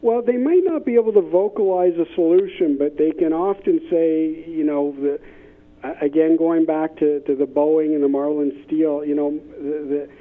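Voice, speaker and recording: A man explaining ideas conversationally as an interview guest, with halting phrasing and repeated words, over a phone-like line. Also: fairly high pitch. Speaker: interview guest, business innovation consultant. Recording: tinny, band-limited phone-like line.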